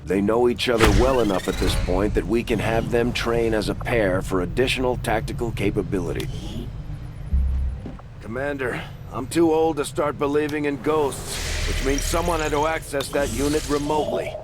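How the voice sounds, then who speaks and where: A man playing a serious military officer in a video game, briefing his commander crisply and formally. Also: medium pitch, moderate pace, over music, lightly acted. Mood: serious, urgent. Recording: game audio with clear background noise.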